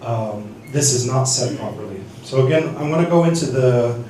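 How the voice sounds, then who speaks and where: A man teaching a seminar on church sound desks, speaking haltingly with many restarts and fillers. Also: medium pitch, expressive, a little mellow, slight background noise. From United States. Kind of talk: teaching sound-desk basics. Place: church hall. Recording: echoey hall from far off.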